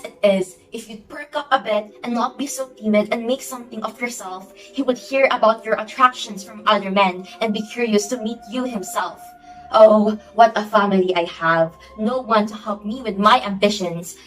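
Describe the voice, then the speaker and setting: A woman's voice: mid-range voice, moderate pace, natural voice. A young woman playing an imperious, easily outraged society hostess and domineering mother in an amateur stage play, with a haughty, melodramatic delivery. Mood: scolding, exasperated. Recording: distant mic under music.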